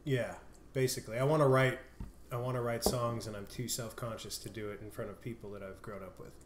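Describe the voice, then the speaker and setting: A man from Los Angeles recalls his past in an easy, low-key voice of middling pitch. He is chatting at a kitchen table. The room echoes, with faint noise behind him. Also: flat delivery, fairly quick. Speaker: guest musician. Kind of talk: casual chat. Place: home kitchen, indoors.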